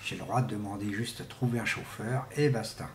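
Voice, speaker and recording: A man giving an informal, rambling monologue to viewers, speaking haltingly and often repeating words and restarting. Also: on the low side. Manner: often clears throat. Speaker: vlogger. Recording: echoey room with faint background noise.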